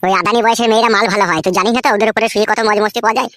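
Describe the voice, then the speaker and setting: Woman as a crude scheming cartoon village guy, boastful, talks crazy fast, dry close sound. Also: mid-range voice, fairly even intonation, a bit bright, no room sound, natural voice. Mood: lustful, excited. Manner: crude, swaggering, foul-mouthed. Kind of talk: comedy cartoon dub.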